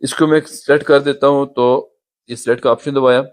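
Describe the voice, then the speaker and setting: A man's voice: medium pitch, steady tone, fairly quick, clear, resonant tone. A man hosting a step-by-step app tutorial, patiently pointing things out on the screen, recorded on a phone. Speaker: YouTube tutorial host.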